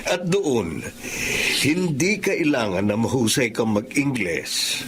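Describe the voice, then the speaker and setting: A man's voice: medium pitch, lively intonation, slow pace, a little hiss or hum. A man acting a genial, persuasive wealthy businessman in a Tagalog radio drama, with a Filipino accent. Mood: persuasive, smooth-talking.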